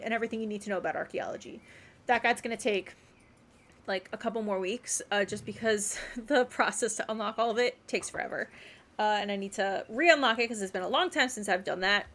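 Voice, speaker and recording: A woman game streamer chatting casually to her viewers in a rambling, animated way with emphatic repeats. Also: a little high. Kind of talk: casual livestream chat to viewers.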